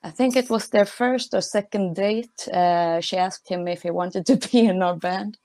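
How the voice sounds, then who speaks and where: A woman's voice: mid-range voice, moderate pace, darkish tone, dry recording. A woman from Sweden with a Swedish accent, a musician chatting casually as an interview guest, hesitant and often laughing. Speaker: guest, punk band musician.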